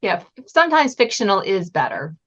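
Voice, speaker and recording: A woman's voice: medium pitch, very animated, moderate pace, fairly bright tone. A woman hosting a podcast, speaking in a conversational interview style. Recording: muffled, band-limited audio.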